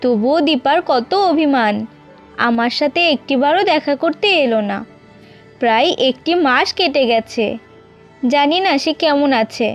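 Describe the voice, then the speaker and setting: A woman voicing a gentle, earnest young village wife in a cartoon. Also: high voice, measured pace, soft background music. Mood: wistful, concerned. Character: sweet, contented young village wife, devoted older sister. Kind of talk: scripted cartoon dubbing.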